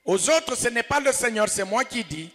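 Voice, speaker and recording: A man preaching as a pastor in short, emphatic phrases, pausing for an interpreter and repeating key phrases. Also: very high-pitched, lively intonation, fairly bright tone. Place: large reverberant indoor room, preaching to a congregation. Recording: reverberant hall.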